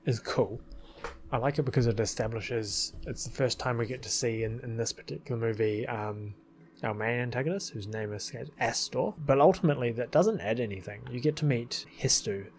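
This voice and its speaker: A man's voice: medium pitch, normal speed, a little mellow, slight background noise. A man giving rambling, casual commentary as a video creator, recorded as a close voice-over with music behind. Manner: rambling, chuckling asides. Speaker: video creator, film editor.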